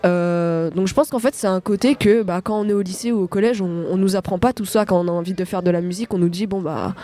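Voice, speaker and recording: A woman's voice: on the low side, steady tone, fast, warm tone. A young woman from France, a singer-songwriter answering radio interview questions, hesitant with many fillers and restarts.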